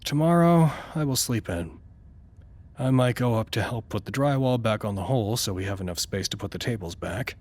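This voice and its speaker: An actor playing a tired, self-conscious research doctor in an audio drama, rambling and stammering when flustered. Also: male voice, on the low side, expressive, brisk, a little mellow. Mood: tired, mellow. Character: weary, petty but good-natured male research doctor. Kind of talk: audio drama acting, log monologue.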